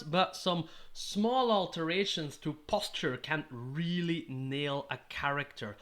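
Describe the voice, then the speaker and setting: A man's voice: sits high, bright, forceful tone. A man in his thirties with a Dutch accent, a native Dutch speaker, giving upbeat, encouraging tips to camera from a small room, speaking into a mic on a stand. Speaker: YouTube host giving roleplaying tips. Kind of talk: scripted-sounding advice monologue to camera. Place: small indoor room with striped curtains. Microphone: studio mic on a stand in front of him.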